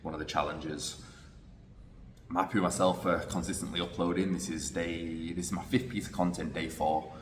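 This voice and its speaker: Male vlogger, deep and reflective, casual phone recording in a roomy space with faint background noise. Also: little pitch movement, normal speed. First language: English. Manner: reflective, thinking aloud with restarts. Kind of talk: casual vlog talking to camera. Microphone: phone camera. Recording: echoey room with long reverb.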